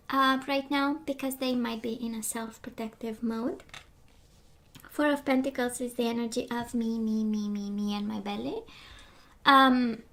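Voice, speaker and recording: Woman tarot reader, light high voice, going hoarse, rambly, slips into whispers. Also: fairly bright tone. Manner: rambling, many fillers, drops into whispers. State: losing her voice. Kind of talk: casual spoken tarot reading.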